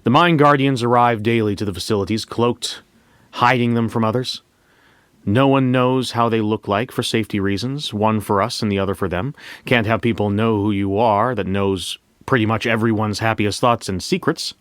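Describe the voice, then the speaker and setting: Low-voiced man narrating a story, dry recording. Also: moderate pace, a bit bright, smooth, no room sound. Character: bank manager recounting a memory heist. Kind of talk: scripted dramatic first-person narration.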